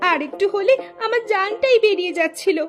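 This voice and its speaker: Woman acting cartoon village wife, very high voice, emotional, pleading, devoted, music behind. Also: fairly quick. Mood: shaken. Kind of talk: Bangla cartoon dialogue acting.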